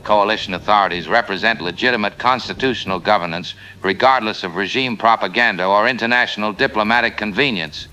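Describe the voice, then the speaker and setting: A man's voice: fairly low pitch, quick pace, few pauses, pushed and bright, clear, resonant tone, rough recording. A man playing an unyielding, defiant coalition spokesman, speaking in a formal, rigid, declamatory way in an audio drama. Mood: defiant, resolute. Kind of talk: scripted audio drama political statement.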